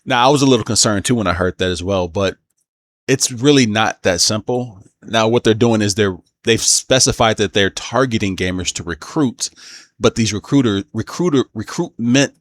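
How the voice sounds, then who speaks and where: A man with a low voice talks through his opinions in a casual commentary style. His delivery is halting and unscripted, and he often backs up to correct his own wording. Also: no room sound.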